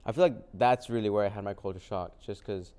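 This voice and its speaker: A young man from Mexico whose first language is Spanish, speaking in a low, smooth, mellow voice. He answers easygoing questions in loose, casual talk, dotted with fillers. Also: fast. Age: young adult. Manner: relaxed, many fillers like "um" and "like". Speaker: guest, vintage clothing reseller. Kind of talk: casual interview conversation.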